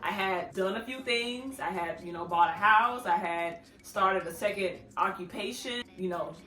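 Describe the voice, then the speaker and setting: A woman's voice: mid-range voice, moderate pace, pushed and bright. A woman in her thirties hosting her own channel, giving casual advice to the camera in a chatty, playful way and laughing often. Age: 30s. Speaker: vlogger, channel host. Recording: echoey room with faint music.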